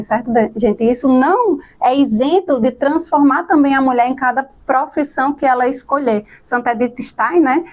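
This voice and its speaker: A woman hosting a podcast, talking enthusiastically and warmly with her guest. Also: high voice. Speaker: podcast host. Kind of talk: casual podcast conversation.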